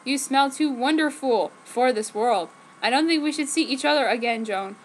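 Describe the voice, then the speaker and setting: A woman's voice: sits high, normal speed, slight background noise, natural voice, rough recording. A woman voicing a brooding, self-denying young male vampire lover in a solemn, melodramatic way. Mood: mournful resignation.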